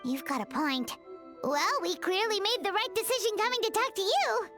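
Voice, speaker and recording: A tiny fairy companion from a video game, speaks in a very high, squeaky child's voice. The delivery is perky, blunt and animated, with music playing clearly behind. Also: female voice, moderate pace. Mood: inquisitive. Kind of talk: English video game voice acting.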